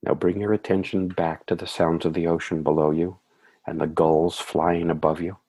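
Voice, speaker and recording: A man leading a guided meditation in a soothing, measured way, with calm phrasing and gentle affirmations. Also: sits low, husky.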